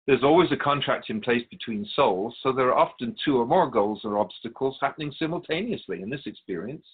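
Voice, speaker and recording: A man playing a serene, wise otherworldly keeper of souls in a radio drama, speaking in a measured, formal, instructive manner. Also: medium pitch, animated, breathy edge, narrow, tinny audio. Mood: gently admonishing.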